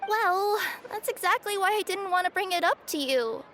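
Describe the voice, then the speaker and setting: A woman's voice: very high voice, animated, normal speed, a bit bright, a little hiss or hum. A woman voicing a polite, anxious young courier in a video game, youthful, earnest and a little hesitant. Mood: reluctant. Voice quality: youthful, girlish. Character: anxious, polite young female courier, fretting over her friend. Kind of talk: video game character acting. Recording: music playing behind the voice.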